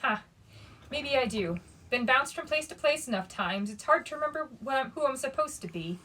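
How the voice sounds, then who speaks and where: A woman's voice: high voice, expressive, normal speed, sharp tone, distant mic, pitched above the performer's own voice, exaggerated voice. A woman reading the part of a nervous teenage prince in hiding from a storybook, muttering, hesitant and a little defensive. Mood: guarded, muttering.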